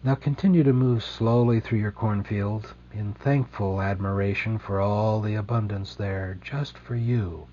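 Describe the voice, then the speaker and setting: Male meditation guide, dark relaxed tone, gentle and unhurried with deliberate pauses; slightly dull recording with some background hum. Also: on the low side. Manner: slow, soothing, gentle delivery with deliberate pauses.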